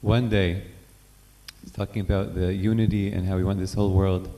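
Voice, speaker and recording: A singer-songwriter man talking softly about a song in a home studio. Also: deep voice, little pitch movement, normal speed, poor audio. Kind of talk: introducing a song, then singing. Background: guitar playing.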